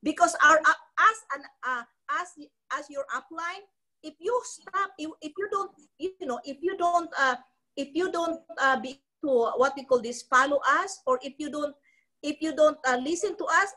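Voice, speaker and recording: A woman's voice: high-pitched, normal speed, pushed and bright, low-quality recording. Filipino woman preaching business on a call. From Philippines. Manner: repetitive, restarting, preachy. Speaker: network marketing trainer. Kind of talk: motivational talk on a live video call. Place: ornate living room at home. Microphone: phone close to the face.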